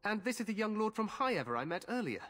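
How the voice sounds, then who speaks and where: A man's voice: sits high, very animated, fast talker, fairly bright tone. Eager young king in a reverberant hall. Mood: brisk, regal. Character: eager, glory-seeking young warrior king, imperious when challenged. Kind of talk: dramatic fantasy video game acting.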